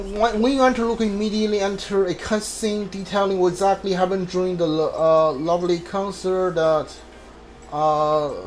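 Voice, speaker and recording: High-voiced man muttering through a game playthrough. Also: darkish tone, slight background noise. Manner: halting, mutters to himself, reads guide and subtitles aloud. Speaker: video game streamer. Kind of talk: casual playthrough commentary, thinking aloud.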